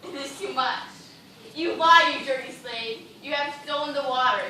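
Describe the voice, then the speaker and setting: A woman playing a proud, desperate, delirious female dancer on stage, speaking slowly and imploringly with melodrama. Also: high voice, bright, forceful tone. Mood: furious, indignant. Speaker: stage actor. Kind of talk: theatrical stage acting. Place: indoor theatre stage, recorded from afar. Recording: echoey stage, distant microphone, noisy.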